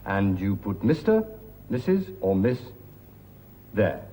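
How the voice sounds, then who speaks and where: An actor playing a polite, patient British immigration officer who helps a traveller fill in a form. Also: male voice, lowish voice, very animated, takes it slowly, warm tone, in a large hall, a little hiss or hum. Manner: courteous, patient, formal.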